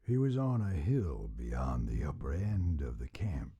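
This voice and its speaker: An extremely low, husky old man tells a western tale for an audiobook. The voice is very dark and gravelly, with a slow, solemn rise and fall, like a grave campfire narrator. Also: very animated, full character voice. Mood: solemn, hushed. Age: older.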